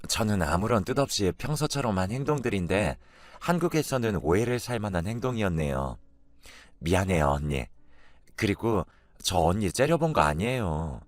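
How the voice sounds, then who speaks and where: A man's voice: on the low side, sing-song, normal speed, no echo. A man as a sly, taunting young woman. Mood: apologetic, polite. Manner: mocking, provocative. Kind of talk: dramatised story dialogue.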